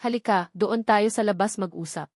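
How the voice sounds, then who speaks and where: A woman's voice: medium pitch, moderate pace, darkish tone, dry recording, clean studio sound, lightly acted. A woman voicing a serious, patient father in a story, firm and questioning. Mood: calming.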